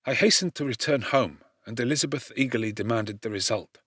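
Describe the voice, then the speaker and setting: A man reading a classic novel as an audiobook narrator, in a solemn, expressive and dramatic literary style. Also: medium pitch, brisk, husky, dry recording, clean studio sound.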